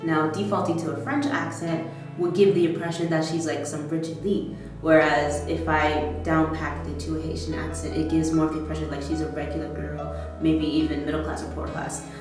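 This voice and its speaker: Low-voiced american actress chatting away, laughs easy, super echoey far-off mic, music under it. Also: female voice, brisk. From United States. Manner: animated, chatty, laughs easily. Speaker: stage actor. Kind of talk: behind-the-scenes interview. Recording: very echoey room, distant mic, music behind.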